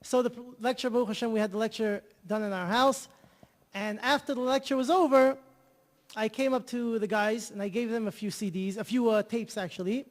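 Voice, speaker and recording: High-voiced man, eager storyteller. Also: fairly even intonation, a little fast, in a large hall. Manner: enthusiastic, repeats himself. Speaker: guest, charity organizer. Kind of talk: storytelling in a TV interview.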